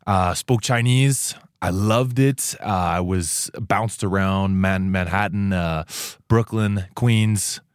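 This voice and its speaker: Low-voiced young man from Taiwan. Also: slow, clear, resonant tone, dry recording, studio quality. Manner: relaxed, chatty, many fillers and restarts. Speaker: student guest. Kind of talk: casual interview chat. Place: radio studio.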